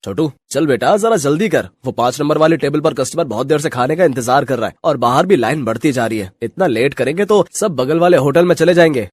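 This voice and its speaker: Guy doing hurried restaurant boss for a story, urging, bit high pitched, dry. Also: animated, breathy edge, dry recording. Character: busy, hurrying male hotel owner and worker.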